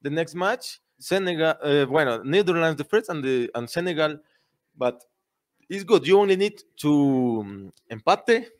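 A young man gives football analysis in halting English, pausing often to search for words. He speaks a little high for a man, into a desk mic in a small studio. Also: expressive. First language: Spanish. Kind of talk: casual football chat. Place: small indoor studio with a backdrop.

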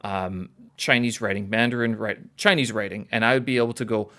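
A man's voice: on the low side, fairly even intonation, brisk, sharp tone. A man from Canada hosting a podcast over a video call, talking casually and rambling, often correcting himself and laughing at himself. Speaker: podcast host.

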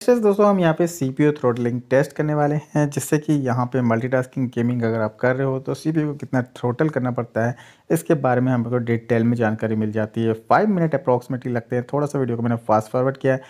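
A man's voice: mid-range voice, quick pace, darkish tone. A man, the host of a tech channel, steadily narrating a side-by-side phone speed test and addressing viewers as friends.

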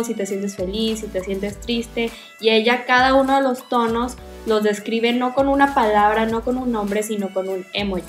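A young woman with a Mexican accent talks to camera in a casual, enthusiastic way, reviewing makeup as a video host. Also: a little high. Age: young adult. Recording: roomy echo, music behind. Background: music.

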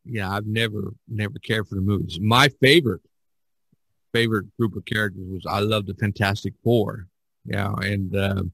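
A man's voice: lowish voice, creaky, pushed and bright, dry recording, low-quality recording. An older man in a casual podcast discussion, rambling and opinionated as he talks. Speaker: podcast host.

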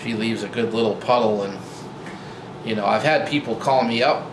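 A man in his forties talking casually to camera about his old truck, relaxed and rambling with lots of fillers and restarts, filmed in a garage. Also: on the low side, moderate pace. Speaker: vlogger. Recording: roomy garage with loud hum.

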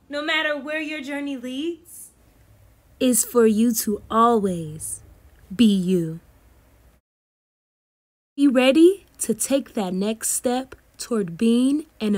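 A young woman teacher reading a picture book aloud to children in an animated, deliberate, encouraging way. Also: fairly high pitch. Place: small indoor room against plain backdrop.

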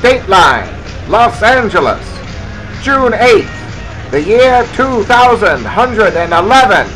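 A man with a high-pitched voice plays newsreel narrator, reading scripted lines with mock grandeur. His delivery is slow and deliberate, in parody of old-time announcers, over faint music. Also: sing-song, fairly bright tone, low-quality recording.

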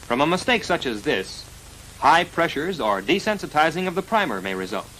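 An American man narrating a 1940s military instructional film in a formal, precise, measured delivery, on a vintage film soundtrack. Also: on the high side, big pitch swings, sharp tone, a little hiss or hum, low-quality recording. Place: voice-over recorded for a film.

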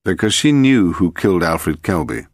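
Man playing a low-voiced, probing British gentleman detective. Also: expressive, dry recording, studio quality. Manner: measured, noncommittal.

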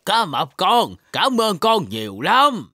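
A man's voice: sits high, huge pitch swings, takes it slowly, pushed and bright, no echo, studio quality, full character voice. A man voicing a kindly old grandpa shark in a children's cartoon. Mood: warm, grateful. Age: older.

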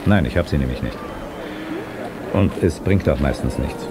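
Deep dry henchman in a radio play, cynical, really noisy behind. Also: moderate pace. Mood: cool, calculating. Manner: dry, matter-of-fact. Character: cynical, matter-of-fact male henchman. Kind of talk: audio drama acting. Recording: loud noise behind the voice.

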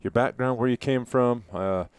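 A man's voice: medium pitch, normal speed, smooth, no echo. A middle-aged man you'd hear steering an outdoorsmen's podcast around a table, up close and dry. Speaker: podcast co-host. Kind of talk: casual hunting podcast discussion. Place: small wood-panelled room, around a table. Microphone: headset mic.

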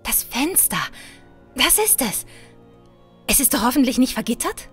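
A woman's voice: high-pitched, sing-song, a little slow, a bit bright, faint music behind, exaggerated voice. A woman playing a headstrong, impatient riverboat adventurer in a fantasy audio drama, defiant and emphatic. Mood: confident. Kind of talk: fan-made fantasy audio drama acting.

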